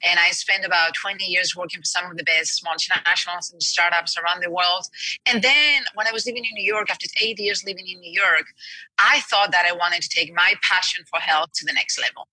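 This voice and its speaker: A woman's voice: fairly low pitch, steady tone, fairly quick, bright, forceful tone, no echo, poor audio. A woman from Spain with a Spanish accent, a health coach earnestly explaining her work in an interview. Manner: earnest, explanatory, with small restarts. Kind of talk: interview answers.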